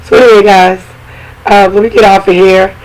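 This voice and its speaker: Hoarse woman podcast host, chatty. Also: mid-range voice, steady tone, fairly quick, a little mellow, poor audio. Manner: chatty, many fillers and asides. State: hoarse, catching a cold. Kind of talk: casual podcast chat.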